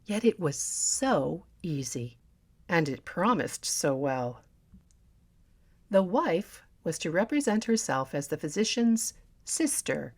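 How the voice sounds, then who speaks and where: A woman narrating a story as an audiobook reader, in a theatrical, deliberate and expressive manner. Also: on the low side. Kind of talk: dramatic scripted story narration.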